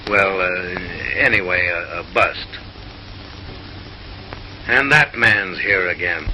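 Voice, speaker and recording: A man's voice: deep voice, slow pace, noisy background. A man narrating in a mid-century comic newsreel style, with an arch, mock-refined, theatrical delivery, on a vintage film soundtrack.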